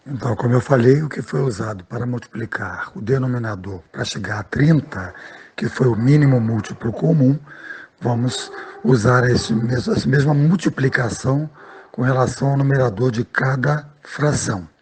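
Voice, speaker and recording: A man's voice: mid-range voice, moderate pace, darkish tone, slight background noise. A man, a math teacher patiently explaining a lesson step by step and often checking in with his listeners.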